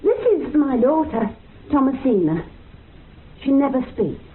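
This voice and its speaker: A woman's voice: sits high, expressive, a little slow. Chatty, swooping, possessive mother. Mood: proud, matter-of-fact. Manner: rambling, insistent, matter-of-fact. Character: chatty, possessive mother showing off her mute daughter. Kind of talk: radio drama acting. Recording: noisy, phone-like old radio recording.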